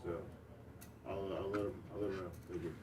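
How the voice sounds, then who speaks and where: A man's voice: low voice, steady tone, a little fast. A man hosting a radio show in casual live chat. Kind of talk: casual live chat, reading out listener names. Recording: noisy room, distant from mic.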